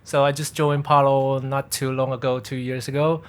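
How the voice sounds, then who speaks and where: A man speaks to a workshop audience as a co-presenter. His voice has a medium pitch for a man, and he talks as an engineer presenting at a conference. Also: flat delivery, moderate pace, clean tone. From Taiwan. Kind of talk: conference self-introduction.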